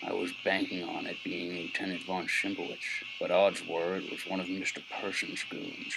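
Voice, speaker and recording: A low, brooding gumshoe, acted by a man in a noir audio drama, with clear atmospheric noise behind him. He is world-weary and sardonic, with florid, deliberate hardboiled delivery. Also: flat delivery. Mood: wary, brooding. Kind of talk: scripted hardboiled noir narration.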